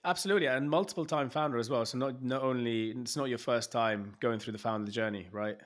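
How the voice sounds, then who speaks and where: A man's voice: mid-range voice, quick pace. A man from Egypt hosting a podcast interview over a video call, chatty and hesitant, with frequent restarts and fillers. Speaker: podcast host. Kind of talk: casual interview chat.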